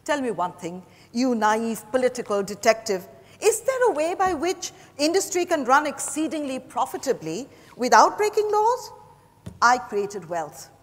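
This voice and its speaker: A woman's voice: sits high, big pitch swings, moderate pace. A woman acting a proud, defiant businesswoman suspect in a theatrical dramatized stage reading. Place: large hotel ballroom stage. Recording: echoey hall, picked up from the audience.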